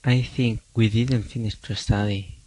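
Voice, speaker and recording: Man playing a slow, dark, menacing stalker. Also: fairly low pitch, fairly even intonation, no echo, low-quality recording. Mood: sinister taunting. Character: menacing male attacker returning to taunt his victim. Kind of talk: amateur radio play acting.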